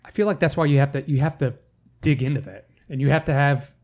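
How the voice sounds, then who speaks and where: A man's voice: mid-range voice, quick pace, a little mellow. A man hosting a casual podcast interview, asking long rambling questions with joking asides and frequent restarts. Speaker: podcast host.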